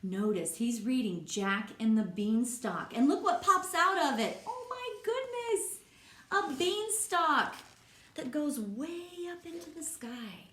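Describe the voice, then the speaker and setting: A woman teacher reads a picture book aloud to young children and pauses to ask them gentle, encouraging questions. She speaks slowly and unhurriedly, with a slightly high and very expressive voice. The recording has a roomy echo. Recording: echoey room.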